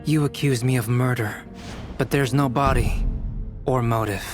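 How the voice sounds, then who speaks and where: Calm, stoic young male knight played by an actor. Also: mid-range voice, moderate pace, faint music behind, close to an everyday voice. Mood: calm, defensive. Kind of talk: scripted fantasy game cutscene acting.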